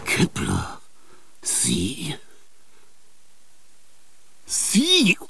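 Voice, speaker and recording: An older man acting in a radio play, with a husky, gravelly voice and a halting, dreamlike monologue that slips into whispers. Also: fairly high pitch, wildly swooping pitch, far from the mic, heavy background noise. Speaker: radio drama actor.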